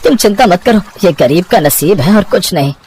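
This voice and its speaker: A man's voice: high-pitched, lively intonation, moderate pace, a little mellow, husky, exaggerated voice. An actor voicing an earnest, worried poor labourer father who pleads and reassures in a cartoon story. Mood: determined, reassuring.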